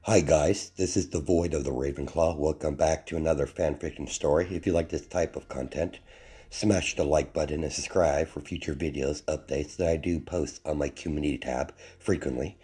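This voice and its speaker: A man hosting a channel, reading a fan story aloud in a plain, unhurried way, his voice not fully recovered. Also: extremely low pitch, steady tone, mellow, breathy edge. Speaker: fanfiction narrator, channel host.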